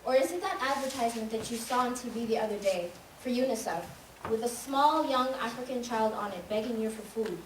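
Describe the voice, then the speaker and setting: A woman's voice: a little high, lively intonation, brisk. A young woman from Tanzania giving an emphatic, rhythmic spoken-word monologue on a school stage. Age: young adult. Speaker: student speaker. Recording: echoey hall recorded from far off, noisy.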